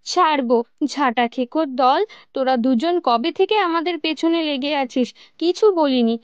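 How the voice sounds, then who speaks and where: A woman's voice: sits high, moderate pace, no echo, lo-fi sound, natural voice. A woman voicing a feisty, outspoken village female in a cartoon. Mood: scolding.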